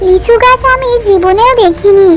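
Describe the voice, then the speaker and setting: A woman voices a kindly, simple-hearted mother fox in a cartoon fable. She is motherly and earnest, pitched very high. Music runs clearly underneath. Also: normal speed. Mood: amazed. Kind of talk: children's cartoon voice acting.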